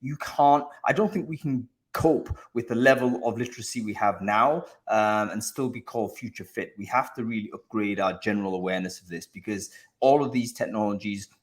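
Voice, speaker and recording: A man's voice: lowish voice, brisk. A man hosting a live online show, talking casually to viewers in a discursive way, often repeating words as he feels for the next thought. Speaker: host of a live online show about recruiting.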